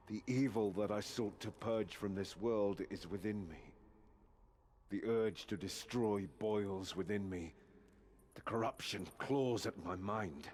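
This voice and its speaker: Fantasy game knight: lowish voice, honourable, resolute, formal, earnest. Faint background ambience. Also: male voice, takes it slowly, darkish tone, a little hiss or hum. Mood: strained resolve. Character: proud, honourable male knight resisting inner corruption. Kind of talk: scripted fantasy game acting.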